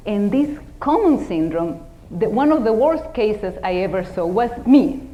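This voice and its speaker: A woman's voice: mid-range voice, animated, measured pace, highs cut off. A middle-aged woman from the Dominican Republic with a Dominican Spanish accent, giving a humorous, self-deprecating motivational talk as keynote speaker.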